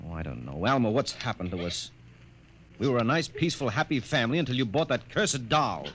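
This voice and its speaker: A man's voice: mid-range voice, very animated, fairly quick. An actor playing an irritable, anxious middle-aged army colonel and father in 1950s radio drama, stern and blustering. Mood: grumbling. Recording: noisy 1950s radio recording.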